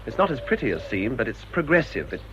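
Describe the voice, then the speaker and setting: An actor playing a bitter English village priest in a radio drama, with a dry, grumbling way of talking. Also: male voice, mid-range voice, big pitch swings, quick pace, natural voice. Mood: wry, bitter. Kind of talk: British radio drama acting. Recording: dull, noisy old radio drama recording.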